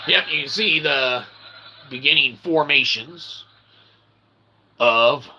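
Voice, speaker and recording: A man hosting a philosophy monologue, speaking in wordy pseudo-academic phrases with slangy asides and a gravelly, creaky voice. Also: mid-range voice, background noise. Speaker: host of an independent philosophy show.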